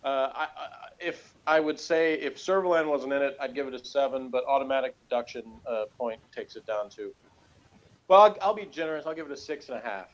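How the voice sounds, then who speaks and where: A man chats casually as co-host of a review show. He rambles through his opinions with a sardonic edge. His mid-pitched voice comes through a muffled, low-fidelity connection. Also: lively intonation, fairly quick.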